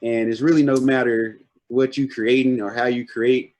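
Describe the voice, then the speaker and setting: A man in his late twenties talks over a video call for a podcast interview. He is relaxed and rambling, trailing off and circling back as he searches for words. His voice sits at an ordinary middle pitch. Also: normal speed. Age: 20s. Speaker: guest artist.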